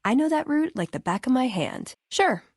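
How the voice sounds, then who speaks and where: A woman's voice: high-pitched, very animated, normal speed, no echo, clean studio sound. An American woman playing a wry, earnest young scribe in a video game, speaking in a conversational way. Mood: casual, friendly. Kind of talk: video game character dialogue.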